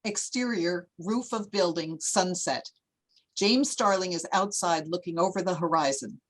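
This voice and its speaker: A woman's voice: on the low side, a little slow. A woman reading screen directions as scripted narration for a table read. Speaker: stage-direction reader.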